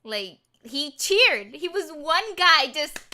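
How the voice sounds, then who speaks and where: A woman co-hosting a casual movie discussion, talking in an excitable, gushing way. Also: sits high, takes it slowly. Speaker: podcast co-host.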